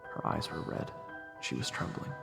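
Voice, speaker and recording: A man playing a brooding first-person narrator in a fantasy audio drama, telling the story in a grave, measured way. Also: close to an everyday voice. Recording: reverberant echo, music underneath.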